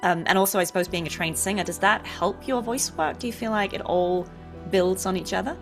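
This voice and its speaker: A woman's voice: medium pitch, brisk, vocal fry. A woman hosting an interview show in a casual, conversational style. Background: faint music.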